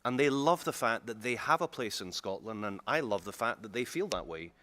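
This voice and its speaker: A man's voice: on the low side, expressive, a little fast, pushed and bright, no echo. A man whose first language is German, speaking personally and with heartfelt restarts on a conference panel. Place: conference hall.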